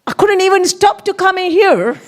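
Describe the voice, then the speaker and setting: Halting woman. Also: very high voice, lively intonation. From Sri Lanka. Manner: halting, non-native phrasing. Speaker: event attendee. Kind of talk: speaking up at a community event. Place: indoor event hall.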